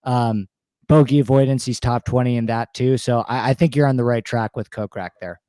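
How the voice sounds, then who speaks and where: A man with a slightly hoarse voice, eagerly talking stats and picks as a guest in a casual interview. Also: medium pitch, fairly quick, warm tone, no echo. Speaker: guest sports betting analyst.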